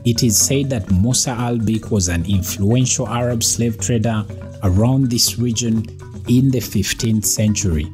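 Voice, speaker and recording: A man who hosts a channel, reading a scripted narration of facts in a measured, teaching tone. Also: fairly low pitch, music in the background. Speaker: channel host. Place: voice-over with background music.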